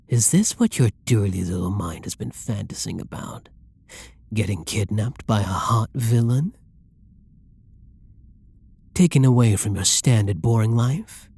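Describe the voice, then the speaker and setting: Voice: medium pitch, mellow, husky, exaggerated voice. An actor voicing a flirty, possessive villain who menaces and teases a hostage in an ASMR roleplay, domineering and often dropping into whispers. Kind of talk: roleplay ASMR audio drama monologue.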